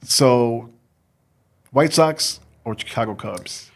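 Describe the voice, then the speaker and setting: A male podcast host with a mid-range voice, relaxed and teasing in conversation. He guides the chat with a light, joking manner in a studio setting. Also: mid-range voice, slow pace. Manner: playful, teasing, laughs easily. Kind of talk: casual interview chat.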